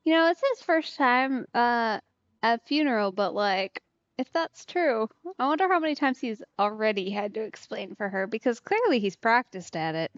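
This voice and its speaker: A woman's voice: on the high side, lively intonation, moderate pace, dry recording, dull audio. A woman giving casual let's play commentary on a video game, with wry, conversational asides. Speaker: streamer playing a video game.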